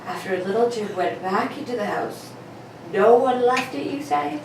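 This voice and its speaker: A woman playing a thoughtful, questioning male detective in an old-time radio play, speaking deliberately. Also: fairly high pitch, animated. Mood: probing, thoughtful. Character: bedridden young male amateur detective, thoughtful and probing. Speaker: amateur radio play performer. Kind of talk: 1940s radio play read for laughs. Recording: distant mic, very echoey room, faint noise.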